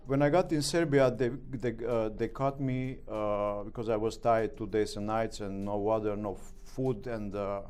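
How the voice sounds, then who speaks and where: A middle-aged man with a Romanian accent, speaking broken English as an interview guest, halting with many fillers. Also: on the low side, fairly even intonation, darkish tone, smooth, soft background music. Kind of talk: interview answers.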